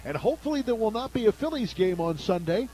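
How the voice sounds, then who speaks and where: Casting note: high-pitched male play-by-play announcer, brisk game calling, local radio broadcast. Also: very animated.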